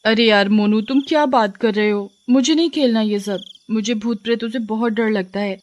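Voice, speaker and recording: A woman's voice: on the high side, moderate pace, slight background noise, lightly acted. A woman voicing a timid, frightened young woman in a Hindi audio story, anxious and pleading. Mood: anxious, scolding.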